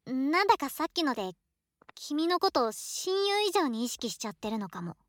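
A woman playing a shy, affectionate young student, a boy turned into a girl, talking softly to a close friend in a voice drama. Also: sits high, very animated, normal speed, dry recording. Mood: wistful, sincere. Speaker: voice actor in a situation voice drama. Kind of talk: Japanese situation voice drama acting, intimate one-sided dialogue.

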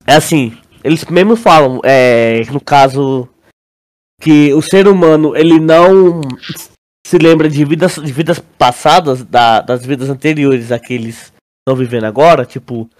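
A man's voice: fairly high pitch, moderate pace, a little mellow. A Brazilian man co-hosting a podcast in casual, slangy chat, often repeating words as he searches for phrasing. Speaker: podcast co-host. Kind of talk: casual chat, theorizing about a show.

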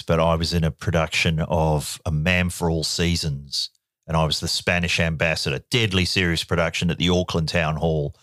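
Deep-voiced New man in his sixties. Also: no room sound, clean studio sound. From New Zealand. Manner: animated storyteller who slips into voices. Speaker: podcast co-host. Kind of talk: casual chat, comic anecdotes.

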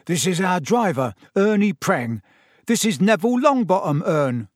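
A man's voice: high voice, big pitch swings, moderate pace, dry recording, clean studio sound, pitched above the performer's own voice. A man voicing a brash, nosy teenage bus conductor with cheeky, slangy speech in a narrated storybook. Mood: breezy. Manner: cheeky, slangy, dropped sounds and double negatives.

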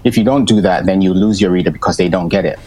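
Hesitant low-voiced male panelist. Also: fast talker, darkish tone. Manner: hesitant, full of restarts, laughs easily. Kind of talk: casual panel discussion.

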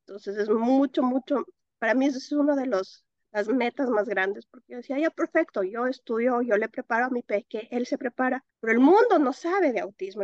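Expressive Ecuadorian woman, interview guest. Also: a little high, dry recording, muffled recording. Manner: expressive, laughs often, mixes in English words. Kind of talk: interview answers.

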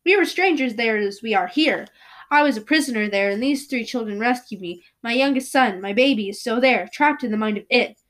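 A woman voicing a steady grown father in a read-aloud story, speaking slowly and distinctly. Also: on the high side, lightly acted.

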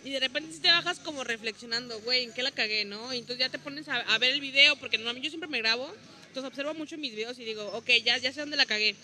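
A woman comedian from Veracruz with a Mexican accent, chatting casually in a slangy, animated way and swearing freely. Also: high voice, quick pace. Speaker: stand-up comedian, guest. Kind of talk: casual podcast chat.

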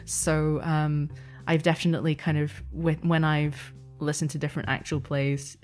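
Woman, deep-pitched, steady unvaried intonation, conversational interview guest, explanatory, thoughtful. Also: normal speed, faint music behind. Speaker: podcast guest, game master.

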